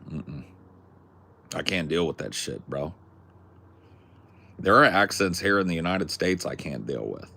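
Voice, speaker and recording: A man's voice: sits low, animated, unhurried, creaky, long pauses, sharp tone, a little hiss or hum. A young man from California with a Californian accent, chatting casually in a rambling, jokey, crude way. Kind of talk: casual chat.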